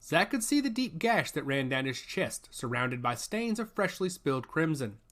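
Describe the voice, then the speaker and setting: Expressive male storyteller with a bright, forceful voice. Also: on the high side, moderate pace. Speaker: audiobook narrator. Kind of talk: dramatic scripted fiction narration.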